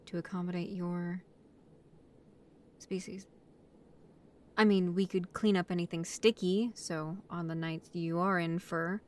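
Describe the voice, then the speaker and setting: A woman playing a young female vampire housemate in a roleplay audio, setting out rules in a halting, matter-of-fact way. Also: lowish voice, darkish tone, a little hiss or hum. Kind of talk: roleplay audio acting, addressing the listener.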